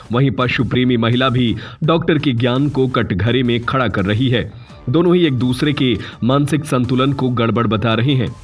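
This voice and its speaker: A man with a medium-pitched voice narrates a scripted news report. Faint music plays behind his voice-over.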